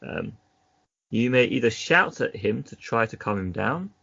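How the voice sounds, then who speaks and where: A man's voice: medium pitch, sing-song, unhurried, creaky, sharp tone, husky, dry recording, dull audio. A man narrating an adventure gamebook as game master, casually, breaking off into chatty asides.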